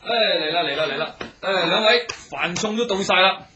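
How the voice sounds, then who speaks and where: A man's voice: high voice, moderate pace, muffled recording, noisy background, lightly acted. A man playing an eager, deferential innkeeper in a radio drama, chatty and full of small interjections, with a Hong Kong Cantonese accent. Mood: eager salesman. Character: eager, talkative, obliging male innkeeper serving travellers.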